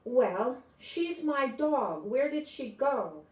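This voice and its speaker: Storybook role: shy, anxious little boy played by a woman, hesitant delivery, slightly raised pitch, echoing room. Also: very animated, takes it slowly, a little mellow, heavily acted. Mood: nervous, urgent. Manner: hesitant, stammering when nervous. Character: shy, worried young boy searching for lost beagle.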